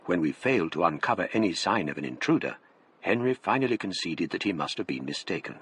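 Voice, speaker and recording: An actor playing a grave gentleman narrator with an English accent, telling a gothic tale in literary, dramatic style for radio. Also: low voice, lively intonation, fast talker, slight background noise. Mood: reflective.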